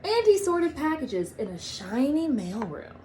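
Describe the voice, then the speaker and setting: Female storybook reader: higher register, expressive, emphatic phrasing, noisy recording. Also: unhurried. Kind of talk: children's storybook read-aloud.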